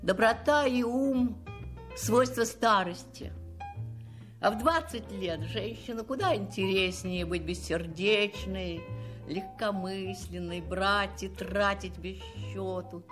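A woman's voice: medium pitch, slow pace, a bit bright. Witty, wistful elderly lady over music. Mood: lively, gracious. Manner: reflective, theatrical, teasing. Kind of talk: acted radio-play style dialogue. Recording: music playing behind the voice.

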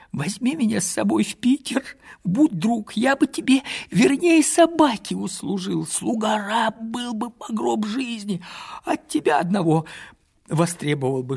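A man's voice: creaky, slightly rough, full character voice. A man voicing a pleading old peasant wanderer. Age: 60s. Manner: shifts between hushed whispers and high excited outbursts; folksy rustic speech.

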